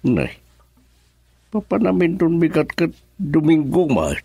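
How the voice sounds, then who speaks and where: An actor playing a gentle, concerned elderly father in a radio drama, with a Filipino accent. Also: a little high, huge pitch swings, a little slow, creaky, slightly rough, no echo, heavily acted. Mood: gentle, curious.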